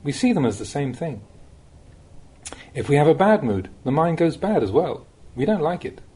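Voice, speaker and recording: A man reads a Dhamma talk aloud in a dark, mellow tone with some huskiness. His phrasing is measured, yet his intonation moves widely and expressively, giving the teaching the feel of a thoughtful spoken audiobook. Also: on the high side, sing-song, slightly rough. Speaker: monk reading Buddhist teachings.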